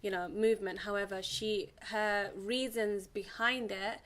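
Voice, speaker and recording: Young woman, flat measured speech. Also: mid-range voice. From England. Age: young adult. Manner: even, measured delivery with frequent ums. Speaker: guest. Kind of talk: casual studio interview.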